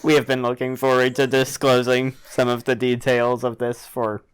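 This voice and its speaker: A man's voice: mid-range voice, completely flat delivery, normal speed, clear, resonant tone. A man talking playfully in character as a tabletop game player, with a mock-solemn, grandiose manner.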